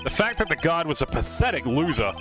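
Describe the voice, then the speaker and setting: A man's voice: on the high side, very animated, brisk. A man voicing an earnest, dogged young defense attorney in a live fan dub of a courtroom video game, arguing emphatically. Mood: firm, arguing. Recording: music behind the voice. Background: game music.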